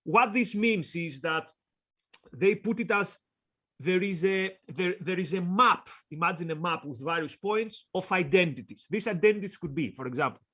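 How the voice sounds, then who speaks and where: A man with a Greek accent, co-hosting a discussion, reading quotes aloud in short, paused chunks. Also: high-pitched, fairly bright tone, slightly rough. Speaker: co-host. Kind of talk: casual discussion.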